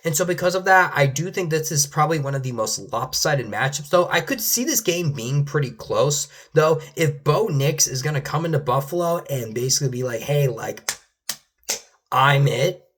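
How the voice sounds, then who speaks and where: A man's voice: on the high side, expressive, moderate pace, a bit bright, breathy edge. A young man giving casual football predictions, rambling with many restarts and ending lines with "though", his voice sometimes giving out. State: losing his voice at times. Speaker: sports commentator.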